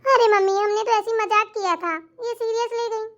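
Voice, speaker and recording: A put-upon young daughter-in-law with an extremely high cartoon voice, played by a woman. She is defensive and cheeky, explaining herself with mild sarcasm as in a family comedy. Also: moderate pace, fairly bright tone, dull audio.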